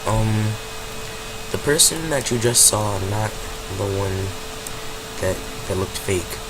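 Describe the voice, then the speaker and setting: Stuffy-nosed man rambling over loud hum. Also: fairly low pitch, animated, takes it slowly. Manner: rambling, self-correcting. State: has a cold, stuffy nose. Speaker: gaming channel host. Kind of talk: casual gameplay commentary. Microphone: home desk mic. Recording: constant hum and background noise.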